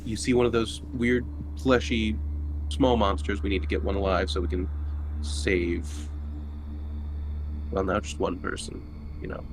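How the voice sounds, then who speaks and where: Slow, low-voiced man over noticeable noise. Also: flat delivery. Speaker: player in a tabletop roleplaying game. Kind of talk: casual in-character game chat. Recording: clearly noisy background.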